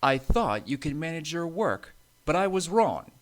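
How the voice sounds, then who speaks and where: A man's voice: a little high, big pitch swings, moderate pace, pitched above the performer's own voice. Man playing a firm railway controller, raised voice. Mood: stern. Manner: formal, authoritative. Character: thin railway controller, firm but kindly male boss.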